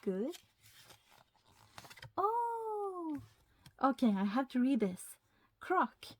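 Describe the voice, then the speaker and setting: A woman's voice: high voice, extremely slow. Swedish woman chatting through an unboxing. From Sweden. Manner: chatty, excitable, reads packaging aloud. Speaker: YouTuber. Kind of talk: casual unboxing chat.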